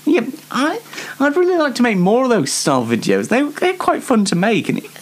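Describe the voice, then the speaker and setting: A man's voice: very high voice, wildly swooping pitch, a little fast. A young man hosting a comedy web show, chatting casually to viewers in a rambling, hesitant, self-deprecating way with dry mock indignation. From Yorkshire, England.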